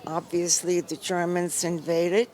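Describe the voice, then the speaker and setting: An older woman from Poland, giving a composed, measured account of her past in a live interview. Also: on the low side, little pitch movement, a little mellow. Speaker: Holocaust survivor interviewee.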